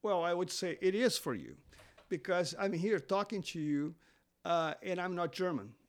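A man who speaks English as a non-native language, a seminary teacher talking as a guest on a podcast interview, halting with many fillers and restarts. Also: high voice, animated. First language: not English.

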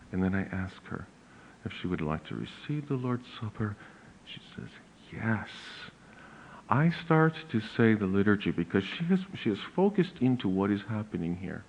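A pastor with a big voice, giving a slow, deliberate lecture on church hymns with emphatic rises. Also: male voice, lowish voice. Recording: narrow phone-like audio.

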